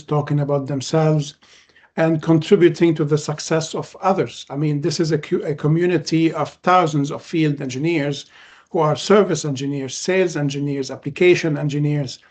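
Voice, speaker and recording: Lebanese guy hosting a podcast, arabic first language, kinda high voice, lots of uh pauses. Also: a little mellow. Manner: measured, frequent "uh" pauses. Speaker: podcast host. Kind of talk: casual podcast conversation.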